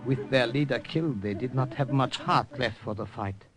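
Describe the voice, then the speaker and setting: An actor playing a grave, loyal Siamese underground major in an old-time radio drama, speaking in formal, clipped sentences. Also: male voice, mid-range voice, normal speed, over loud music, natural voice. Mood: grave, resolute.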